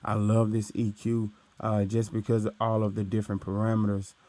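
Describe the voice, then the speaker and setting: A young man with a deep voice casually explaining recording gear, talking into his studio mic and tagging his points with "right?". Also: completely flat delivery, normal speed, warm tone, dry recording. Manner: explains loosely with frequent "right?" and "uh". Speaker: recording engineer teaching. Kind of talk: casual tutorial walkthrough. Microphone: studio microphone through his interface.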